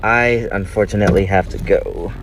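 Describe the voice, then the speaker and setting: A man's voice: on the low side, fairly even intonation, normal speed, background noise, natural voice. A man playing an ordinary guy out with friends in naturalistic horror dialogue. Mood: casual, friendly. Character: man out with friends, growing alarmed.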